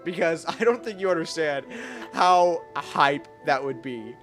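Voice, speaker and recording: A young adult game streamer chats casually through a playthrough. The delivery is reactive and halting, as if thinking aloud. Game music is clearly heard underneath. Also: medium pitch, animated, a bit bright.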